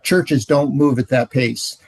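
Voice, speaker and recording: A middle-aged man giving a relaxed training talk, telling stories with frequent "uh"s. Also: medium pitch, slow pace, breathy edge, no room sound. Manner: relaxed storytelling, many "uh"s. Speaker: ministry trainer.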